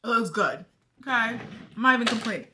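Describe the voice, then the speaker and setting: A woman's voice: fairly high pitch, animated, brisk, noisy background. A young woman chatting casually to her viewers while doing her makeup, thinking aloud as she goes. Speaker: beauty vlogger. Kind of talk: casual makeup tutorial chat.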